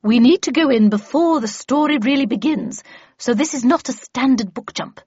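A woman voicing a brisk, imperious, battle-hardened older female mentor in an audiobook, with clipped, commanding, matter-of-fact delivery. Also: sits high, a little mellow, breathy edge, no room sound, highs cut off, voice pushed up. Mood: instructive. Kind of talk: audiobook character voice.

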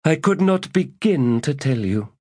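A male narrator voicing a stern female high priestess who speaks sharply and curtly, in an audiobook. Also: lively intonation, unhurried, no room sound, clean studio sound. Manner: sharp, curt, exasperated. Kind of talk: audiobook character voice.

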